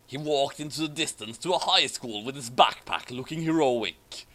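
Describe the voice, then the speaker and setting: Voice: medium pitch, normal speed, fairly bright tone. A person reading a story aloud for comedy and chatting casually about it, often going back over flubbed lines. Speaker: fan fiction reader and commentator.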